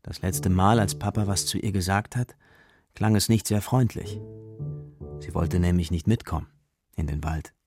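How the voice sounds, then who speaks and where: A man narrating a children's radio play as a scripted story, with a gravelly voice. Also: sits low, moderate pace. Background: music, plucked guitar.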